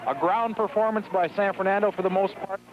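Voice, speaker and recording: A man's voice: high voice, fairly even intonation, brisk. An American man giving color commentary and stats on a live football radio broadcast from an outdoor stadium, in a muffled, noisy 1970s recording.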